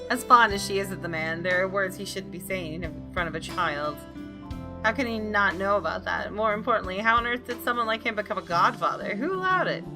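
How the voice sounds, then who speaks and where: Girl gamer youtuber doing visual novel playthrough, mid pitched voice, music playing behind. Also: expressive, a little fast. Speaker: gaming channel host. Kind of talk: visual novel read-aloud narration and casual commentary.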